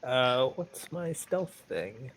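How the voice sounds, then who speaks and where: A man's voice: mid-range voice, animated, slow, fairly bright tone. A man chatting casually as a player in an online tabletop game. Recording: noisy online mic with clicks.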